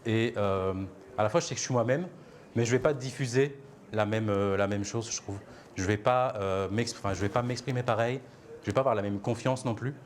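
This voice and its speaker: Hesitant young man, low voice. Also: a little fast. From Belgium. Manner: hesitant and reflective, frequent fillers and restarts. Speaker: interviewee. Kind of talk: personal testimony.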